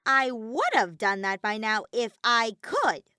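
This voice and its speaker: A woman's voice: a little high, very animated, slow pace, pushed and bright, clean tone, no room sound, clean studio sound, heavily acted. A woman voicing a bratty, exasperated male robotic teddy bear villain in a fan fiction audio reading, theatrical and whiny. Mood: griping. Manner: theatrical, whiny, griping outbursts.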